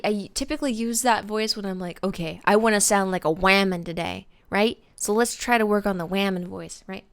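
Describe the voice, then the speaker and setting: A young woman streamer chatting casually with viewers, rambling with lots of fillers and restarts. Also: medium pitch. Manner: chats with viewers by name. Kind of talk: casual live-stream chat.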